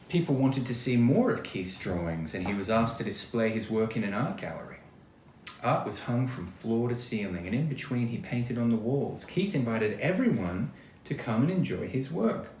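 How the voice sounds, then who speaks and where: A man reading a children's picture book aloud expressively, adding chatty little asides as he goes. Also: medium pitch. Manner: expressive, acts out quoted lines, chatty asides. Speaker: storybook reader. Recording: roomy echo, faint background noise.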